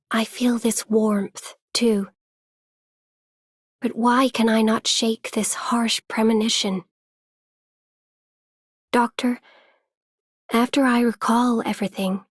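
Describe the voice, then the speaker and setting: A woman's voice: on the high side, no echo, clean studio sound. A woman voicing a frail, anxious young woman in a video game, delicate and tentative. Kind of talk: video game character voice lines.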